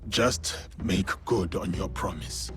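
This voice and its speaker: 낮은 목소리의 과묵하고 올곧은 사무라이. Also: male voice, low-pitched, takes it slowly, soft background music. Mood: grave, earnest. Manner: terse, grave, formal. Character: stoic, honorable male samurai warrior, principled and guarded. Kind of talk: video game cutscene acting.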